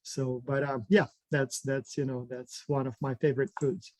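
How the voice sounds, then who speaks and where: A man's voice: medium pitch, little pitch movement, moderate pace, darkish tone, no room sound. A man from Ukraine chatting casually on a video call, hesitant with many ums and restarts. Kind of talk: casual online group chat.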